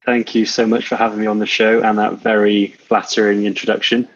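A man's voice: lowish voice, flat delivery, fairly quick, dry recording. A man in his thirties from Wales, a podcast guest talking in an easygoing, laid-back, self-deprecating way. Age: 30s. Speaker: guest, coach and entrepreneur. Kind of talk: casual interview chat. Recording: dull lo-fi sound.

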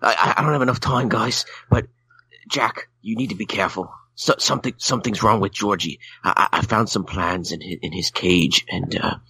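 A man puts on a dim, anxious little podcast character with a low, gravelly voice. He stammers frantically, repeating words over and over in a supposedly Australian accent, with a forceful, raspy edge. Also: moderate pace, full character voice. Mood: panicked, flustered. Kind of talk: improvised comedy skit on a podcast.

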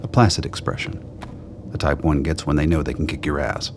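A man's voice: extremely low pitch, little pitch movement, brisk, background noise. A man giving scripted narration for a radio drama.

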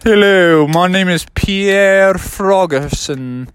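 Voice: mid-range voice, smooth, no echo, voice pushed up, natural voice. A storyteller as a frog boy faking suave airs. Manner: affected, drawn-out. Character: young frog posing as suave newcomer Pierre.

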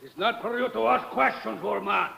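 Gravelly forceful henchman, barks orders, old radio thriller. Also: high voice, normal speed, a little hiss or hum, full character voice. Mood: curt. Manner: barking orders. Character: gruff, bullying male henchman of a smuggling ring. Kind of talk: old-time radio drama acting. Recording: muffled old radio recording, long hall reverb.

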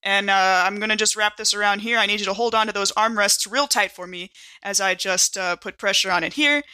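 Brisk, bright-voiced field medic, played by a woman. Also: medium pitch, lightly acted. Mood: urgent, steadying. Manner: urgent, hands-on, slangy. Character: brisk, reassuring field medic with casual bro slang.

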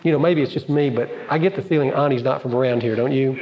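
A man preaching a sermon to a congregation, dramatic and emphatic, swinging between loud stresses and quiet asides. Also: mid-range voice, quick pace, dull audio, very noisy. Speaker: pastor. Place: church sanctuary. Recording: large hall with long reverb.

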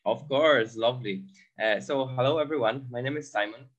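A man's voice: medium pitch, normal speed, lo-fi sound. A man from Poland, speaking English as a second language, answering interview questions with many fillers and restarts. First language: Polish. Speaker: guest entrepreneur.

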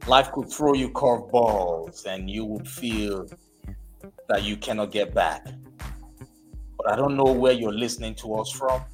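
A man hosting a live podcast interview, urging his listeners in an emphatic, exhorting way and spelling out names slowly. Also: mid-range voice. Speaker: podcast host. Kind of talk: motivational interview show.

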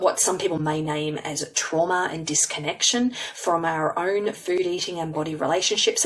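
A woman's voice: low-pitched, distant mic. A woman hosting a podcast, introducing her guest in a casual, rambling way with many fillers and restarts. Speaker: podcast host.

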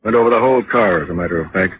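An actor playing a stern, dry, relentless police inspector in 1940s radio drama, deliberate and interrogating. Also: male voice, fairly low pitch, natural voice. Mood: probing. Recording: muffled, noisy old radio recording.

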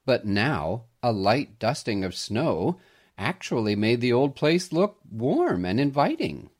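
Expressive male storyteller with lively intonation. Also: mid-range voice, slow. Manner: expressive read-aloud storytelling. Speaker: storyteller, podcast host. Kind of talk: scripted narration of a Christmas story.

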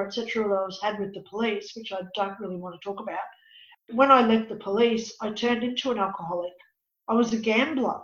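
A woman in her fifties with a raspy voice, speaking as an interview guest and life coach. She talks in an emphatic, preachy way and often says "you know.". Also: fairly high pitch. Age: 50s. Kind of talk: earnest personal conversation. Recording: echoey, dull lo-fi audio.

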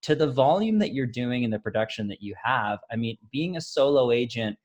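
A man's voice: medium pitch, animated, a little fast, darkish tone, muffled recording. A man hosting a live real estate interview show, effusive and full of praise, often murmuring agreement while his guest talks. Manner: effusive, repeats praise, frequent hmm and wow. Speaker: podcast host.

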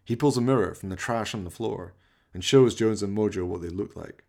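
A man's voice: on the low side, brisk, creaky, mellow. A man narrating a comic horror radio play with theatrical, dramatic storytelling.

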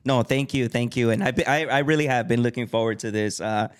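Male podcast host, mid-pitched, eager, filler-heavy intros. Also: brisk. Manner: enthusiastic, many fillers, reads the guest's bio aloud. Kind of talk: casual podcast interview.

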